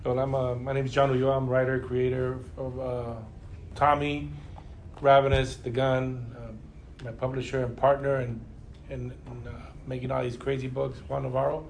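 A man's voice: mid-range voice, flat delivery, normal speed, gravelly, slight background noise. A man from Los Angeles, a comic book writer bantering and joking casually on a convention panel. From Los Angeles, USA. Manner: joking, chatty, restarts often.

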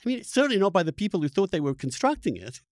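A man's voice: high voice, very animated, racing, a little mellow, no echo, studio quality. A man hosting a podcast, talking in an opinionated, unscripted way, emphatic and often restarting his phrases. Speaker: podcast host.

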